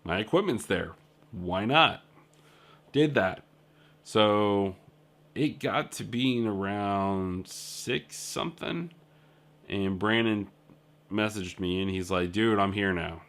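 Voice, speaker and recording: A man's voice: on the low side, slow, pauses often, fairly bright tone. A young man telling a rambling, casual story about his trip in a video diary, full of fillers and asides. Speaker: indie game developer.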